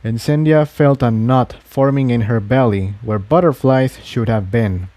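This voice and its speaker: A man's voice: mid-range voice, measured pace, warm tone, no echo. A man reading a story aloud as narrator, in scripted storytelling style. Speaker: amateur fan fiction reader.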